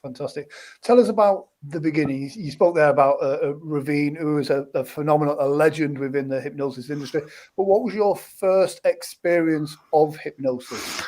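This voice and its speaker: A man hosting an interview, his voice sitting a little high. He shapes his questions loosely, restarting and filling gaps as he talks. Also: brisk, a little mellow. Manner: rambling, with many restarts and fillers. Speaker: interview host.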